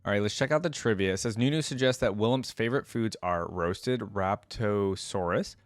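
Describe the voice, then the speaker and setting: Man, lowish pitch, easygoing reaction host, reading aloud with casual asides, close dry recording. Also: moderate pace, no room sound. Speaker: host of a game lore reaction channel. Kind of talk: casual reaction commentary mixed with reading wiki text aloud.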